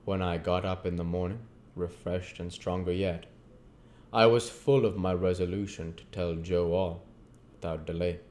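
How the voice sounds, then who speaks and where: Man, low pitch, audiobook narration, classic novel reading, channel host. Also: fairly even intonation, moderate pace, darkish tone, a little hiss or hum.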